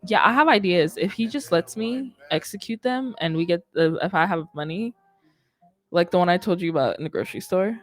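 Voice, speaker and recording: A woman's voice: lowish voice, animated, brisk. Young woman hosting a casual chat show. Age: young adult. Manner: informal, rambling, uses slang and "like". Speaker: radio show host. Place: indoor studio with curtains. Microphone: close studio microphone on boom arm, headset on.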